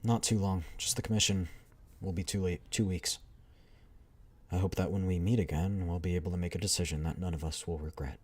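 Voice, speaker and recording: A man with a deep voice reading the lines of a calm, composed female squad leader in a measured, formal way.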